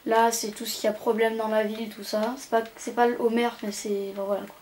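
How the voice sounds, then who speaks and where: A woman's voice: fairly high pitch, little pitch movement, brisk, darkish tone. A young woman giving a casual, rambling, hesitant commentary as she tours a video game. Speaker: gaming channel host. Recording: amateur home recording with background noise.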